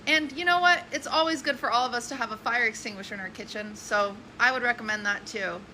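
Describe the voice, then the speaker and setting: A woman's voice: on the high side, fast, sharp tone, a little hiss or hum, low-quality recording. A woman in a clip played on a stream, giving an upbeat, chirpy sign-off.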